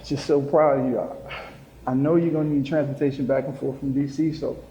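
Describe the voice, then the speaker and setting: A man's voice: medium pitch, fairly even intonation, brisk, dark tone, highs cut off, close to an everyday voice. A man acting the part of a proud, doting middle-aged father in a live stage play. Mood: tender, proud. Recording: echoey hall, stage play picked up live.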